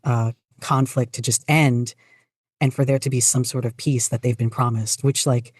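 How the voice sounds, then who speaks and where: A podcast guest chatting casually, speaking in halting but enthusiastic bursts. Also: medium pitch, level tone, no echo, clean studio sound. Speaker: podcast guest, fan fiction writer. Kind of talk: casual interview chat.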